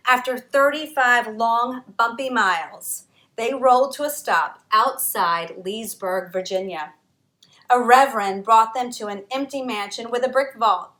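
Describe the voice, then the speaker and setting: A woman author reading her own picture book aloud at storytime, expressive, with dramatic pauses. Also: a little high.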